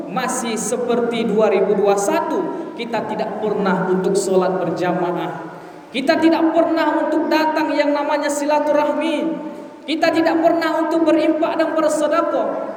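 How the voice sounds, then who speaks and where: A man's voice: very high-pitched, moderate pace. A man delivering an impassioned, emphatic Friday sermon, exhorting listeners with rhetorical questions. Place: large reverberant mosque hall. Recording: very echoey hall, distant mic, hiss.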